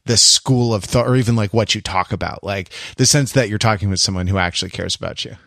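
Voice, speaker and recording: A man chatting casually as a podcast panelist, halting and tentative, with a self-deprecating, bookish way of talking. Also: lowish voice, vocal fry, fairly bright tone, dry recording, studio quality. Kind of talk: casual intellectual chat.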